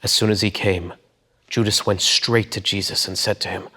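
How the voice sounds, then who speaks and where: A man's voice: fairly low pitch, fairly even intonation, moderate pace, bright, forceful tone, breathy edge. A man giving an earnest, theatrical narration of scripture.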